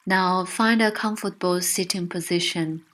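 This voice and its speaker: A woman narrating a guided meditation with gentle, soothing, unhurried instruction. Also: fairly low pitch, steady tone.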